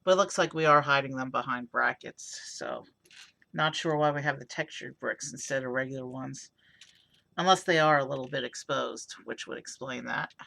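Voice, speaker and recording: Hoarse raspy guy chatting through a build, gravelly and bright. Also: medium pitch, moderate pace. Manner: relaxed, rambling, many fillers. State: hoarse from overuse. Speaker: streamer building a toy car set. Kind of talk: casual live-stream commentary.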